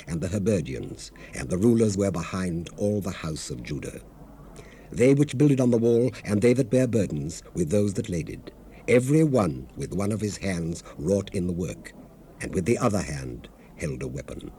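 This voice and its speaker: A man's voice: on the low side, dark tone, natural voice. An actor playing an earnest, devout leader recounting his people's struggle in a solemn, oratorical scripture dramatization. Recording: hissy 1970s cassette rip.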